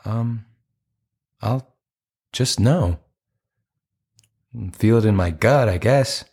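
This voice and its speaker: A man's voice: on the low side, very slow, a little mellow, breathy edge, exaggerated voice. An actor playing an earnest, apologetic romantic man in an audio play, with a hesitant, conversational delivery. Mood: earnest, hopeful.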